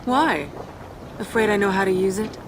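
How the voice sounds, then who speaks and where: A woman plays a young fire-giant princess in a video game cutscene. She is haughty and taunting at a middling pitch, with clear ambient noise behind her. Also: normal speed, lightly acted. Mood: mocking. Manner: sardonic, taunting, defiant.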